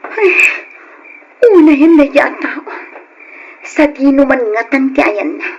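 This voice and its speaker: An actress with an Ilocano accent playing a neglected, nagging wife who sighs and complains in an old-time radio drama. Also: female voice, high-pitched, dull audio, slight background noise. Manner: complaining, sighing. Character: exasperated neglected wife, nagging and fretting over her absent husband.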